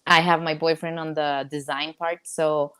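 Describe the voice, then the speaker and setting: A young woman from Mexico who speaks English with a Mexican Spanish accent, Spanish being her first language. She talks casually as a guest in a live coaching session, halting and searching for words with many fillers and restarts. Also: low voice, little pitch movement, sharp tone, smooth, no room sound, studio quality.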